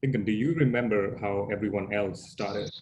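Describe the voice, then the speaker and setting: Over clear background noise, a man acts as a calm recovery group leader. His lowish voice is patient and probing, in the naturalistic style of a drama table read. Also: moderate pace, dull audio, lightly acted.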